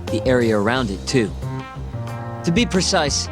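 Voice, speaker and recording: A man's voice: mid-range voice, big pitch swings, moderate pace, close to an everyday voice. An actor voicing a glib male informant in a game dub, laying out shady dealings in a casual, wry, slangy way. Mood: explanatory, wry. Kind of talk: English game dub dialogue, conversational exposition. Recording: music behind the voice.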